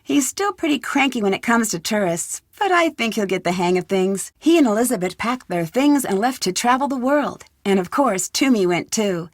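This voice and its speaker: A plucky young American woman detective in an adventure game. Her voice sits fairly high and is recorded close and dry. She speaks in an earnest, conversational way, with an inquisitive edge. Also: animated, normal speed, hardly any pauses, dry recording. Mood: reflective, upbeat. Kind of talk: video game voice acting, narrated letter and dialogue.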